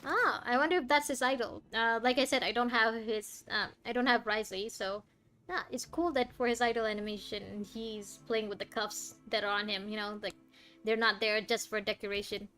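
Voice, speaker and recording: Female game streamer, fairly high voice, chatty, thinking aloud over in-game music. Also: steady tone, normal speed, sharp tone. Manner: musing aloud, reacting to dialogue. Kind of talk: casual game commentary.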